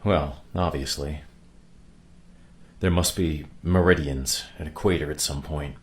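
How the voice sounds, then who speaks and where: An actor playing a pragmatic, weary commanding officer of a stranded space crew in a measured radio play performance. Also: male voice, very deep voice, fairly even intonation. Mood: intent, grave. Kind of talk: naturalistic radio play acting.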